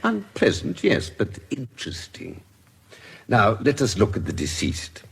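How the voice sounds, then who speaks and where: An actor playing a keen older British gentleman detective doctor in a radio drama, measured and precise as he deliberates aloud. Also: medium pitch, wildly swooping pitch, gravelly, slightly rough, full character voice. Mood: brisk, methodical. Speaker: forensic investigator.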